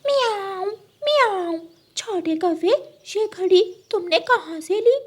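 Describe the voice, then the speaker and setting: Lady doing a greedy sneaky girl cat for a cartoon, super high voice, slow talking, meows. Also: expressive, heavily acted. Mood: sly. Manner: meows before speaking, demanding. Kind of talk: cartoon character voice.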